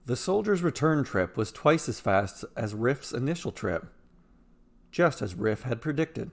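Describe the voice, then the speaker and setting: A man narrating a scripted fantasy story with measured, dramatic delivery. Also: mid-range voice, very animated.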